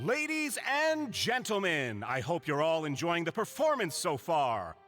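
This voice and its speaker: Showy young announcer, high voice with big swings, courteous theatrical projection for an anime game. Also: male voice, huge pitch swings, measured pace, fairly bright tone, faint music behind, full character voice. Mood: grand, courteous. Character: showy young male stage announcer addressing an audience.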